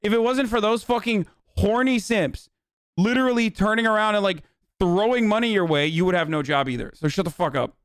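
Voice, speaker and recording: An argumentative man doing live reaction commentary on his stream. His voice is crisp and fairly high, recorded dry. He sounds exasperated and swears freely. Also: brisk, no echo. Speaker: streamer host.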